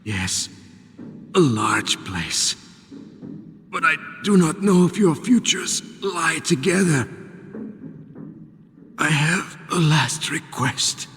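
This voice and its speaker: A dying, masked psychic villain from a video game, bitter and nihilistic. His voice is highish and breathy, drawn out in slow, theatrical phrases with eerie calm. Long reverb swallows every word. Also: heavily acted. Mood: knowing, weary, then fading, wistful. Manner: slow, theatrical, halting delivery. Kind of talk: dramatic video game voice acting.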